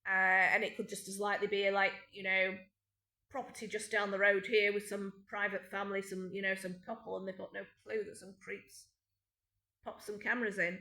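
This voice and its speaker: A woman's voice: mid-range voice, flat delivery, fairly quick, sharp tone. A middle-aged British woman in an unscripted monologue at home, rambling and aggrieved, with many restarts and ums. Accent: British English. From Britain. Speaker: vlogger. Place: home indoors. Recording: echoey room.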